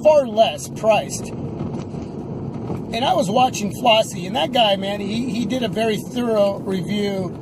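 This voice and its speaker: A middle-aged man vlogging casually about tech from inside a moving car, halting as he thinks aloud. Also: sits high, heavy background noise. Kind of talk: casual unscripted vlog chat. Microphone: camera or phone on the dashboard.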